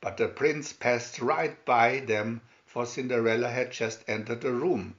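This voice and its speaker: A man's voice: medium pitch, steady tone, unhurried, fairly bright tone, dull audio. A man narrating a fairy tale aloud from a storybook in a dramatic style.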